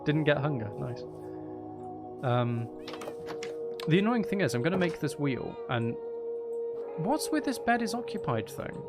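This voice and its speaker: A man's voice: fairly high pitch, sing-song, measured pace, long pauses, loud music behind the voice. A man hosting a casual gaming livestream, rambling and thinking aloud with playful, mock-dramatic asides. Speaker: livestream host.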